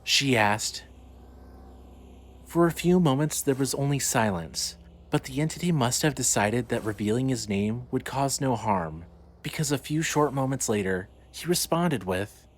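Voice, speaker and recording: A man's voice: mid-range voice, expressive, measured pace, slight background noise. A man reading scripted narration as the narrator of a fiction podcast.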